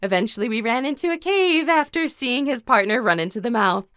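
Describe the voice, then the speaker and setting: Woman acting a composed young heroine, highish voice. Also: animated, a little fast, fairly bright tone, clear, resonant tone, dry recording, studio quality. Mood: composed, narrating. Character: composed, caring teenage girl warrior telling her story. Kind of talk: first-person scripted narration and dialogue.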